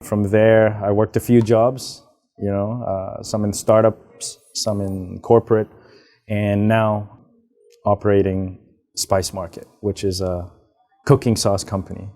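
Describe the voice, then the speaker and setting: A young man from Hong Kong, answering interview questions in a relaxed, rambling way with frequent fillers. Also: on the low side, unhurried. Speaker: guest, business founder. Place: outdoors, karaoke nearby.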